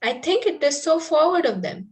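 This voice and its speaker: Naive, romantic young ingenue, played by a woman, slightly high voice, prim and earnest, Victorian comedy table read. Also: lively intonation, moderate pace. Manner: earnest, politely formal. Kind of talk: amateur play table read.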